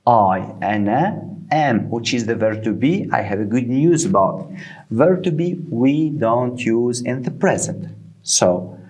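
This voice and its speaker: Slow, animated man teaching. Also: medium pitch. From Tunisia. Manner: slow and deliberate, repeating words for the learner; non-native English phrasing. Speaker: Arabic teacher. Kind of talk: beginner language lesson.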